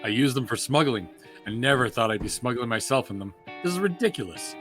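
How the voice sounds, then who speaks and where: A man playing a cocky, gruff smuggler space pilot at an amateur table read. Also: mid-range voice, lively intonation, brisk, fairly bright tone, soft background music, natural voice. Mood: exasperated.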